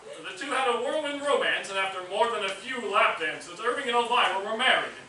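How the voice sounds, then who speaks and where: Actor as a high, bright fumbling narrator. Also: male voice, animated, fairly quick, distant mic, heavily acted. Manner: hesitant, fumbling. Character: clueless, fumbling male stand-in narrator reading exposition. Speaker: narrator in a staged reading. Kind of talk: comedic stage narration. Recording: echoey stage recording from afar, faint noise.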